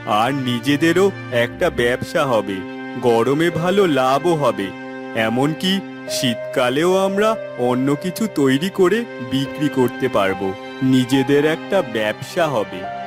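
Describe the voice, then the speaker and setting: A man playing a poor, supportive village husband in a cartoon, expressive and earnest. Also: mid-range voice.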